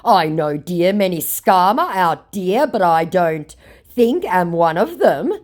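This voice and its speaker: Dim, boastful male scammer for comic storytelling, played by a woman; raised swooping pitch, halting broken English. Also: sing-song, a little mellow. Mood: halting, dismissive. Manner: slow, exaggerated, halting delivery.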